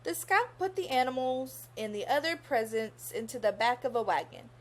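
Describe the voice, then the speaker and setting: Animated, high-pitched young woman reading to children. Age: young adult. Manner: teacherly, pauses to pose questions to young listeners. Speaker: student reading a children's book aloud. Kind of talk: expressive read-aloud storytelling for children.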